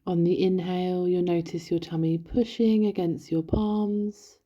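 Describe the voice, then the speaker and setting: A woman leading a guided body scan meditation in a gentle, soothing, instructional manner. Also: on the low side, level tone, a little slow. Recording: dull, lo-fi, roomy echo.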